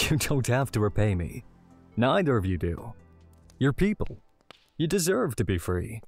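An actor playing a kind, earnest young adventurer who reassures others in an audio drama. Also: male voice, mid-range voice, very animated, unhurried, soft background music. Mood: reassuring.

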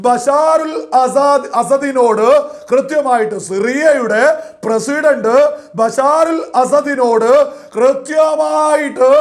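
Man, very high voice, news commentary, emphatic delivery, repeated phrases, drawn-out key words.

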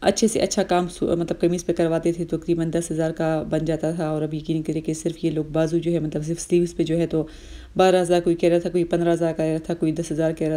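A woman's voice: low-pitched, level tone, fast, warm tone. A woman in her thirties, a vlogger narrating a casual shopping trip through bazaar shops, chatty and explanatory, with many fillers. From Pakistan.

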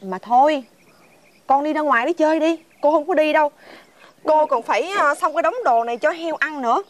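A woman playing a gentle, lonely village woman in a fairy-tale drama, with a southern Vietnamese accent and a coaxing manner. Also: sits high, fairly quick, natural voice. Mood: gently coaxing. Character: gentle, lonely village woman long awaiting her lover.

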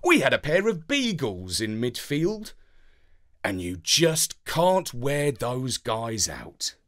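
A man's voice: medium pitch, wildly swooping pitch, slow pace, a bit bright. A man reading a children's story in a dramatic, playful way, as scripted narration.

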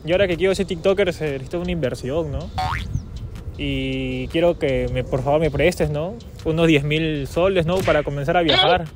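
A man's voice: fairly high pitch, normal speed, music in the background. A young man outdoors in a park, talking into a phone held at his mouth, pleading and insisting as he pranks his father. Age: young adult. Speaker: prank caller. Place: outdoors on a city park lawn. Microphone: handheld phone near the mouth.

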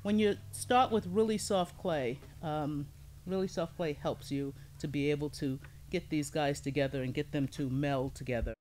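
A middle-aged woman with a low voice teaching a hands-on pottery lesson in a studio, explaining each step calmly as she works. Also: normal speed. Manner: calm, explains step by step while working with hands. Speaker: ceramics teacher.

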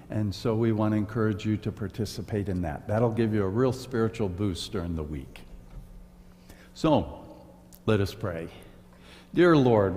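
Older man, pastor, earnest, low-pitched, echoing church sanctuary. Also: dark tone. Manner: slow, earnest pastoral delivery. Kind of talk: church announcements and prayer. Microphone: far camera, standing in front of a pulpit. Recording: reverberant church hall.

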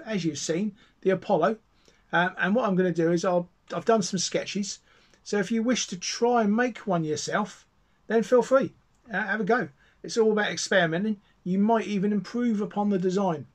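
A middle-aged man with a British accent giving a casual, enthusiastic, rambling explanation as a hobbyist video host. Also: high-pitched. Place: small cluttered home radio room, indoors.

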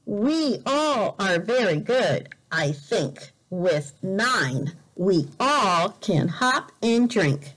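A woman teacher reading a picture book aloud as a lesson for young learners, deliberate and expressive, repeating words carefully. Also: medium pitch, rough recording. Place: indoor room, at a desk.

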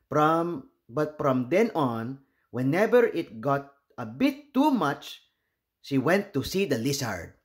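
A man from the Philippines reading a children's picture book aloud in an expressive, deliberate storytelling manner. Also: a little high.

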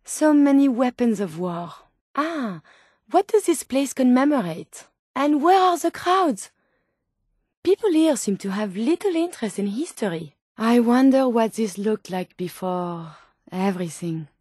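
A woman's voice: a little high, expressive, a little slow, darkish tone, husky, dry recording, clean studio sound. A woman voicing a curious, polite female robot scientist in a video game, with precise, formal phrasing and earnest naivety. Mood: curious, matter-of-fact. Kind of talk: video game companion dialogue.

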